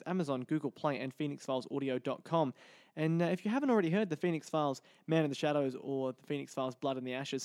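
A man's voice: a little high, a little mellow, no room sound, clean studio sound. A man hosting a podcast in an upbeat, promotional way. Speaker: podcast host. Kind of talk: podcast hosting, interview and sign-off.

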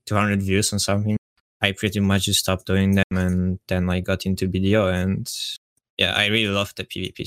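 A young man with a Polish accent talks in a low, steady, even tone and keeps pausing on fillers as a relaxed guest on a podcast. His voice is smooth and clear, and the sound is close and dry. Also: no echo. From Poland. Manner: relaxed, hesitant, filler-heavy. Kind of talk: casual podcast chat.

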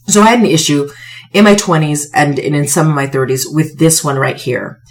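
A woman in her forties with a slightly hoarse voice, giving an emphatic, conversational motivational talk as a podcast host, with pointed pauses and rhetorical questions. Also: deep voice. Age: 40s. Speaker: podcast host, self-help coach.

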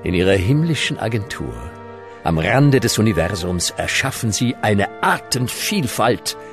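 This is a grandly solemn male radio play narrator, with a wry twist. His lowish voice moves expressively over a music bed, measured and theatrical. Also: very animated. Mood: grand, announcing. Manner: measured, theatrical, tongue-in-cheek.

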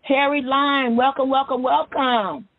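Folksy high-voiced woman radio psychic, phone-like sound. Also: fairly even intonation, a little slow, no echo. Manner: folksy, sing-song, repeats phrases. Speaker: radio psychic host taking callers. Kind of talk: informal call-in radio show. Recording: tinny phone-like radio feed, music behind.

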